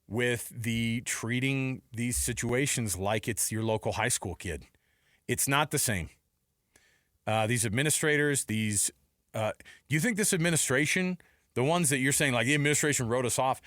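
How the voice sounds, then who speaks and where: Man, middle-aged, mid-pitched, sports talk co-host, emphatic, repetitive for effect, dry studio sound. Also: a bit bright, no echo. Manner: emphatic, rhetorical questions, repeats points.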